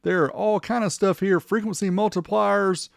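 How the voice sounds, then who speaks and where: Man hosting a casual gadget showcase, higher-pitched voice. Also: steady tone, moderate pace, vocal fry, no room sound, clean studio sound. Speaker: host of a vintage car audio video channel. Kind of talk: product showcase narration, casual.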